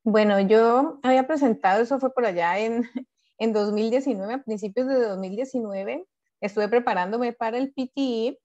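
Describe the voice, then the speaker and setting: Colombian lady from cali chatting as an interview guest, voice a bit high, muffled lo-fi sound. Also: normal speed, darkish tone. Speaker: interview guest, former exam candidate. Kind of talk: casual testimonial interview. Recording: dull, lo-fi audio.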